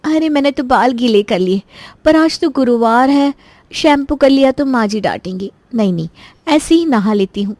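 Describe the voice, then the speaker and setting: Casting: woman as indignant, youthful elder sister-in-law for cartoon drama, extremely high-pitched, dry studio sound. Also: animated, normal speed, a little mellow, dry recording. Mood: musing. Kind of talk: Hindi cartoon voice acting.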